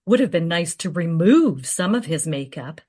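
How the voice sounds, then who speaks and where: A slightly low female voice with lively, swinging intonation, for an exasperated makeup artist, acted by a woman in a radio play. She grumbles drily. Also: normal speed, slightly rough. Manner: grumbling, wry.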